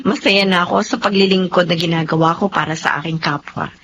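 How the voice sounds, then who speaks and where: A woman acting a principled, gently firm doctor in a Filipino radio drama, speaking earnestly and politely. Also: on the low side, fairly even intonation, a little fast. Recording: slightly noisy, dull radio-drama audio.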